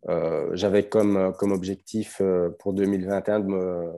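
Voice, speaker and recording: A man with a Belgian French accent, a strength coach talking as a podcast guest in a relaxed, hesitant way with many fillers. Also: deep voice, normal speed. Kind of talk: casual podcast conversation.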